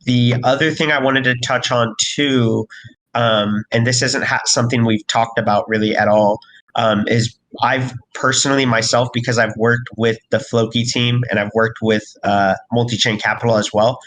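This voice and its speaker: A man from a crypto project team giving a casual update in a live voice chat, hoarse from recent illness and rambling with lots of fillers and restarts. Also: medium pitch, steady tone, normal speed, a bit bright, poor audio. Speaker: crypto project team member hosting an AMA.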